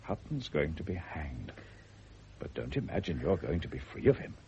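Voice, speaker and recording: An actor playing a shrewd, dry, unflappable family doctor in a classic radio drama, measured and pointedly probing. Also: male voice, very deep voice, very animated, creaky, darkish tone, exaggerated voice. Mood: grave. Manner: measured, wry, pointedly philosophical. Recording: muffled, noisy old radio recording.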